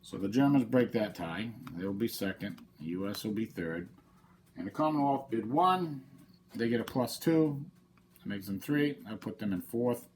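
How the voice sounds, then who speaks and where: A man's voice: on the low side, animated, normal speed, darkish tone, slight background noise, low-quality recording. A man with a head cold hosting his channel, talking casually through a board game playthrough and explaining the rules step by step. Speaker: channel host.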